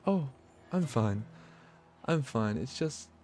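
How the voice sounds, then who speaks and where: A man's voice: mid-range voice, animated, takes it slowly, darkish tone, a little hiss or hum, voice pushed up. A man voicing a creepy, lovesick young noblewoman in a visual novel, clingy and wounded. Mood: haunted, confiding. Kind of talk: visual novel dialogue read aloud.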